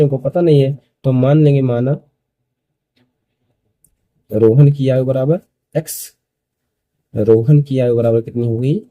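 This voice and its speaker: A young man in his early twenties with a very dark, soft-edged tone and a close, dry sound. He teaches maths step by step at a medium pitch. He repeats key phrases and keeps posing questions, then answers them himself. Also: no echo. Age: early 20s. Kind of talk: step-by-step school maths lesson.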